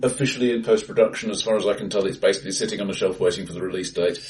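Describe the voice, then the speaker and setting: A man co-hosting a casual film discussion in a measured, thoughtful, tentative way, recorded in a bright, echoey room. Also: sits low, far from the mic. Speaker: podcast host. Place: untreated, acoustically bright room, face to face.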